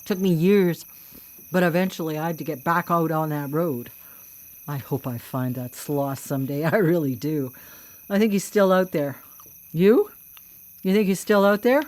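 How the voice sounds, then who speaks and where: A vengeful female vigilante, played by a woman, speaks in a lowish voice. She is cocky and mocking, with a cool menace, in the manner of live radio drama. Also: moderate pace, a little mellow, natural voice. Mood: bitter. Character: vengeful, taunting female vigilante killer who hunts predators.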